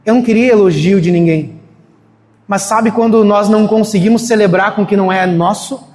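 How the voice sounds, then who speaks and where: A man's voice: sits high, a little fast, darkish tone. A man preaching a sermon in a church in a casual, storytelling way, acting out the voices of people he quotes. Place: church hall. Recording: echoey hall, faint ambience.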